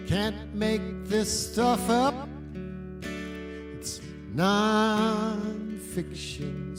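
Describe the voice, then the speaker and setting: A man singing a slow folk-style song as a service musician, with guitar playing along. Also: high voice. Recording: live music, plucked guitar. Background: acoustic guitar.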